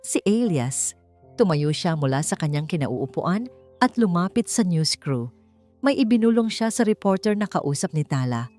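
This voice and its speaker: Low-pitched woman narrating a dramatic story. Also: sing-song, moderate pace, warm tone. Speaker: audiobook narrator. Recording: music behind the voice.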